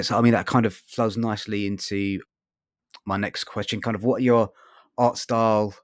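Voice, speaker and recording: A man's voice: on the low side, no room sound, clean studio sound. A man hosting a podcast interview, asking long rambling questions full of fillers. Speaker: podcast host. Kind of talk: casual interview.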